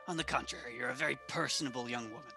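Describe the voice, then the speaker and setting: A man playing an awkward, blunt professor in a radio play, speaking in a formal, halting way. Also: medium pitch, gravelly, over music, natural voice. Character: awkward, blunt male professor, wary of women. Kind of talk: old-time radio play acting.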